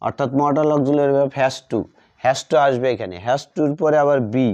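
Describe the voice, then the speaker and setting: A man teaching English grammar, repeating words slowly as he writes them out. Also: medium pitch, fairly even intonation, a little mellow. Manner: slow, repeats words while writing them out. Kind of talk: slow classroom explanation while writing. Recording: dull lo-fi audio.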